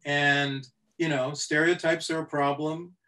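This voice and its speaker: A middle-aged man with a slightly high voice answers on a video-call panel. He is measured and hesitant, restarting often as he thinks his answer through. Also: little pitch movement, sharp tone. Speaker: actor on a panel.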